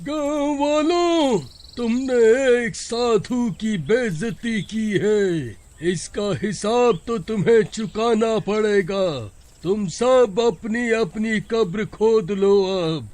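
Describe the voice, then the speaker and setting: A man playing a vengeful old holy man in an animated horror story, speaking in a drawn-out, menacing way. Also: high-pitched. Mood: angry, threatening. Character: vengeful old male sadhu summoning ghosts.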